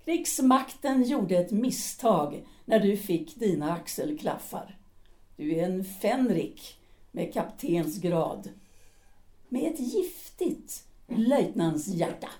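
Woman playing a bitter sharp-tongued wife, middle-aged, warm low tone. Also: very animated, natural voice. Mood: reproachful. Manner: cutting and reproachful. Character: embittered former actress wife, sharp-tongued, in middle age. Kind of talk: Swedish audio drama acting.

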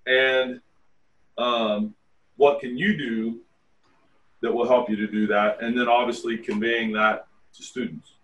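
A man in his fifties leads a group meeting as a tai chi teacher. He talks in a loose, rambling, blunt way. His voice is middle-pitched and sounds a little distant from the mic. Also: steady tone, unhurried, fairly bright tone. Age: 50s. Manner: rambling, frank, self-deprecating asides. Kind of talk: casual group meeting talk.

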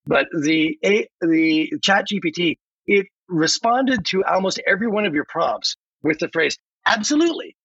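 A man's voice: high voice, expressive, moderate pace, a bit bright, slightly rough. A man chatting animatedly as a podcast guest, emphatic and given to acting out bits of dialogue. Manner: emphatic, acts out quoted dialogue. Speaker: podcast guest who voiced a chatbot script. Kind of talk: animated conversational discussion. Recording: roomy, slightly dull lo-fi sound.

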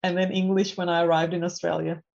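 A woman in her forties from Denmark, a native Danish speaker, calmly walking through her career in numbered steps as a podcast guest. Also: on the low side, fast, creaky. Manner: methodical, lays points out in numbered steps. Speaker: podcast guest, cybersecurity professional. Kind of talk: conversational interview. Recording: slightly dull lo-fi audio.

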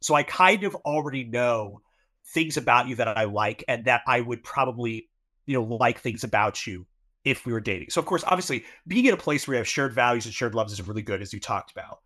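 A man with a bright, forward voice talks through his ideas in a casual podcast chat. He explains thoughtfully, leaning on fillers as he goes. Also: mid-range voice, lively intonation, a little fast. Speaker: podcast co-host.

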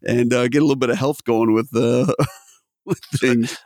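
A man's voice: mid-range voice, fairly even intonation, normal speed, a little mellow, no room sound, studio quality. A man co-hosting a casual podcast chat, joking playfully with the others. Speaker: podcast co-host.

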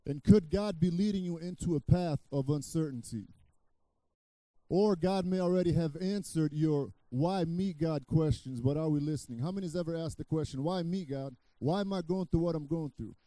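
Middle-aged guy from brazil preaching, very mellow dark voice, stops and restarts a lot then gets loud. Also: a little high, no echo. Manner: halting with many restarts, rising to loud emphatic preaching. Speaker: guest pastor. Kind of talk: sermon.

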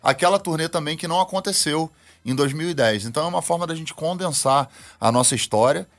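A man's voice: on the high side, fast, a bit bright. A man, a band member guest, explaining steadily in an interview. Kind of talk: interview answer.

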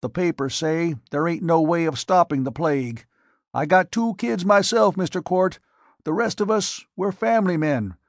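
A man's voice: fairly high pitch, moderate pace, no room sound, studio quality, pitched above the performer's own voice, natural voice. A man doing a grizzled old rural farmer. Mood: stubborn. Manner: rural drawl, folksy grammar. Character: grizzled old male farmer spokesman, stubborn.

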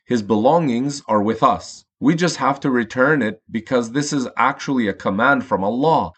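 A man's voice: mid-range voice, husky. A man playing a patient, principled father who talks to his children in an earnest, explanatory way in an audio story for kids.

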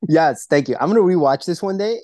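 A man in his forties chats casually as a movie podcast co-host. He jokes a lot, with a lively voice that swings up and down. The recording is close and dry. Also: a little high, quick pace, dry recording. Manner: joking, animated, acts out quotes. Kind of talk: casual movie-review chat.

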